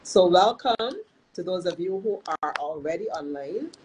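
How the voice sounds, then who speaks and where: A woman's voice: fairly low pitch, lively intonation, a little mellow, slight background noise, poor audio. Nasal-sounding woman hosting a talk. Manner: earnest, measured, with frequent restarts. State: nasal from illness. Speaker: host and counselor. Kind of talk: live-streamed talk monologue.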